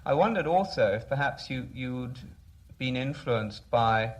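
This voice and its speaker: A man's voice: medium pitch, animated, unhurried, lo-fi sound. A man asking literary interview questions in a polite, tentative way.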